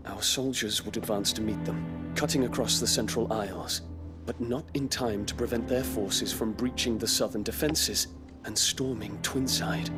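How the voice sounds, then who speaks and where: An actor playing a troubled, dutiful young imperial prince in a video game, speaking in formal, lofty, earnest language. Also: male voice, lowish voice, close to an everyday voice. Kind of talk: dramatic video game cutscene acting.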